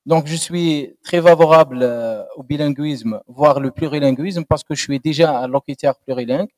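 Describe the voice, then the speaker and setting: A man, his Arabic, answering a thesis jury in a measured, explanatory way. His voice sits a little high for a man. It rings out with a long hall reverb. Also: darkish tone. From Algeria. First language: Algerian Arabic. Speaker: doctoral candidate. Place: university lecture hall.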